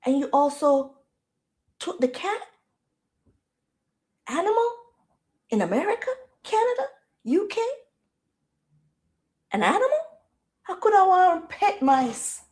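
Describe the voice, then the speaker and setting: A Jamaican woman hosting a casual livestream chat in a loud, projecting voice, firing off rhetorical questions with easygoing slang. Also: high voice. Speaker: livestream host.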